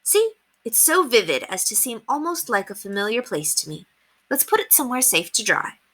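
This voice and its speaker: A woman's voice: on the high side, sing-song, normal speed, a bit bright, voice pushed up. A woman voicing a prim, earnest and kindly young English governess in a children's storybook, with a proper, effusive way of talking. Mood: warm, effusive. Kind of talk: children's storybook read aloud.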